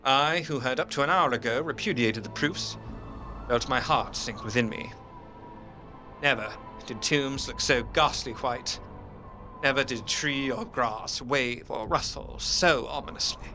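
A man playing an earnest, haunted Victorian gentleman, narrating a horror drama in a measured, literary and expressive way. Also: medium pitch. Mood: dread. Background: music.

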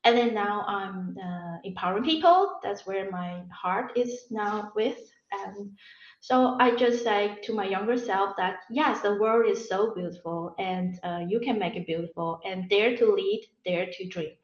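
A woman speaking as a panel guest, gentle and reflective, with some hesitations. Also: mid-range voice, measured pace, reverberant, muffled recording. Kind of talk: casual reflection.

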